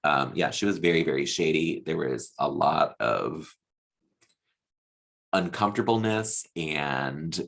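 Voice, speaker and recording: A man's voice: a bass voice, takes it slowly, creaky, fairly bright tone. A man giving a chatty, opinionated recap as a host, with a casual, gossipy way of talking. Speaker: podcast host reviewing a TV show.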